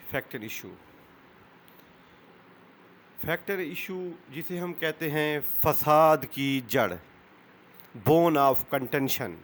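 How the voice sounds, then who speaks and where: A man with a Pakistani accent delivers an explanatory lecture at a very unhurried pace. His voice is fairly high for a man and notably smooth. He is deliberate and didactic, coming back to key phrases as a teacher would. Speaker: lawyer giving law lessons as channel host.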